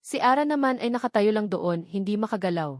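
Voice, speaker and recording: A woman's voice: medium pitch, lively intonation, fairly quick, dry recording, studio quality. A woman narrating a scripted dramatic love story.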